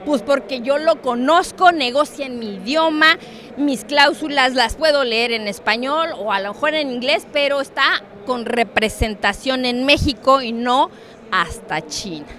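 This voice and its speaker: A middle-aged woman with a Mexican Spanish accent giving a conference talk on trade, animated and joking, often turning to the audience with questions. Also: sits high, a little slow, a little hiss or hum. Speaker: conference speaker on international trade. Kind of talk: lively conference talk with props and asides. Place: conference hall.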